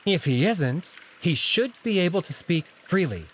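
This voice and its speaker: A man's voice: high-pitched, sing-song, unhurried, fairly bright tone, breathy edge, voice pushed up, heavily acted. A man voicing a sly anthropomorphic jackal noble who talks in a mock-polite, taunting way for a visual novel game. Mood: needling.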